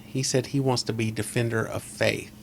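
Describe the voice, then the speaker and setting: A man with a scratchy voice hosting a casual podcast, rambling and opinionated, close to a desk microphone on a boom arm. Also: little pitch movement, moderate pace, creaky. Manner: opinionated, rambling, asks leading questions. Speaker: podcast host. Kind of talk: casual chat. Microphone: close desk mic on a boom arm.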